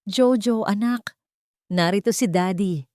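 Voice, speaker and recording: A woman's voice: medium pitch, unhurried, dark tone, no room sound, clean studio sound, voice pushed up, lightly acted. A woman voicing an anguished, tender father who pleads emotionally in an audio drama.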